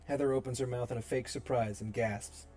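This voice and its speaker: Guy reading out script scene directions, medium pitch, bit of music under it. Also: steady tone, fairly quick, mellow. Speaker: narrator and reader. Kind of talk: dramatic screenplay reading of stage directions.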